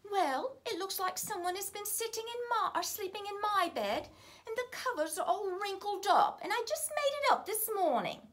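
A woman's voice: very high-pitched, sing-song, moderate pace, fairly bright tone, voice pushed up, exaggerated voice. A woman voicing a fussy, motherly mother bear from a storybook, fretting in a raised voice. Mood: fussed.

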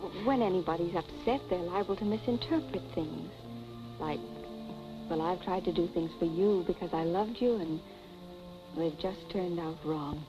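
An actress playing a poised, well-to-do young wife with composed, genteel diction in 1940s film style. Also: female voice, on the low side, moderate pace, natural voice. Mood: soothing, concerned. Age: young adult. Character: poised, self-possessed wealthy young wife, soothing then uneasy. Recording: dull 1940s film soundtrack with music.

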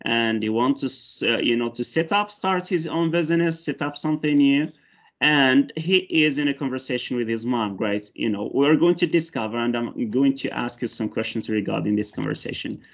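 A man's voice: mid-range voice, normal speed. Moroccan man with many hesitant fillers. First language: Arabic and Berber. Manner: hesitant, many fillers and restarts, rises brightly when teaching. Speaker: English teacher candidate. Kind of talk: mock job interview and demo lesson. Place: indoor room with large windows. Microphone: video call, headset.

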